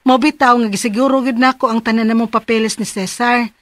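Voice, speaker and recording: An actress playing a diligent, excitable young bride with a Cebuano accent in a radio drama. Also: female voice, on the high side, brisk, dry recording, close to an everyday voice. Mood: reassuring. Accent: Filipino, Cebuano. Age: young adult.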